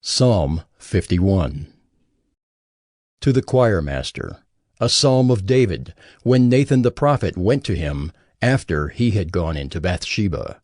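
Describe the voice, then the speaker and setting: A man's voice: low-pitched, very animated, slow pace, a little mellow, dry recording, clean studio sound. A man formally announcing scripture titles from a script.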